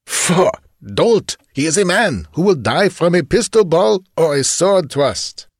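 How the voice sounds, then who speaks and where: A man's voice: fairly high pitch, lively intonation, measured pace, no room sound, clean studio sound, voice pushed up. A man voicing a cold, cruel bandit chief who talks in a contemptuous snarl, in an audiobook adventure. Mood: scornful.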